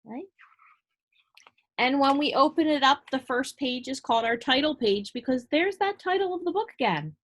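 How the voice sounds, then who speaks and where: A woman preschool teacher reading to young children in an animated way, with simple explanations and questions spoken directly to them. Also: sits high, normal speed. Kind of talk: read-aloud to young children with asides and questions.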